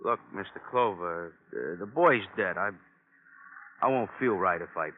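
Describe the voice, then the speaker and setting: A man's voice: low voice, animated, unhurried. An actor playing a defensive, slangy working-class foreman dodging questions in a 1950s radio crime drama. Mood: defensive, evasive. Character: evasive working-class male dairy foreman under police questioning. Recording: tinny, noisy vintage radio recording.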